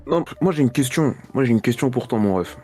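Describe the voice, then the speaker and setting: A man's voice: medium pitch, expressive, fast, warm tone. A man co-hosting a stream in casual slang, repeating short phrases for emphasis. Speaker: co-host of a stream. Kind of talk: casual chat. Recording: music behind the voice. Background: background music.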